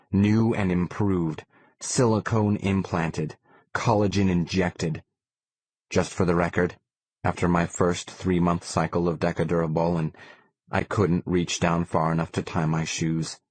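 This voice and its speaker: Low-voiced man narrating, close and dry. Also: dry recording. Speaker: audiobook narrator. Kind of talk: scripted first-person novel narration.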